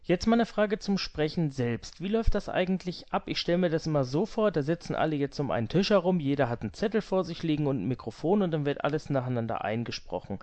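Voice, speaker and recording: A man's voice: on the high side, animated, fast, few pauses, a little mellow. A man works as a podcast host. His interviewing and announcing are polite and neatly ordered.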